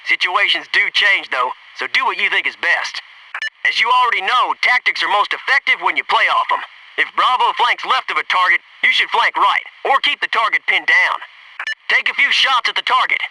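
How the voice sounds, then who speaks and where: A man's voice: high voice, animated, moderate pace, bright, forceful tone, no echo, recorded from a distance, full character voice, low-quality recording. A man voicing a cocky, wisecracking Navy SEAL squadmate in a military shooter video game, brash and sarcastic. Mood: instructive, brisk. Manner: brash, jokey, sarcastic banter and crisp tactical calls.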